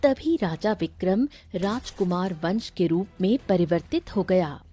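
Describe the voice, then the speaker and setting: A woman's voice: on the low side, lively intonation, measured pace, dark tone, slightly rough, soft background music. A woman narrating a scripted story as its storyteller.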